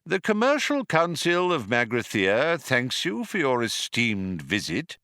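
A man's voice: mid-range voice, wildly swooping pitch, pushed and bright, no echo, clean studio sound, exaggerated voice. Old ceremonial announcer played by a male narrator: hollow, reedy, exquisitely polite with underlying threat, for sci-fi audiobook. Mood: courteous, menacing. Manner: slow, formal, politely sinister. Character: old, courteous, menacing male announcer of a dead planet.

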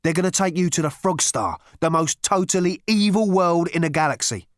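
A man's voice: a little high, moderate pace, dry recording, studio quality, voice pushed up, close to an everyday voice. A man voicing a blunt, knowing, matter-of-fact man who talks tersely and emphatically, in an audiobook. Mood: grimly matter-of-fact. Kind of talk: audiobook character voice.

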